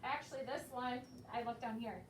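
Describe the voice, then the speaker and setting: A woman's voice: a little high, steady tone, a little fast. An American woman teaching young pupils in a classroom, explaining things simply and prompting them with questions. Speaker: elementary school teacher. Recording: echoey, noisy room, distant mic.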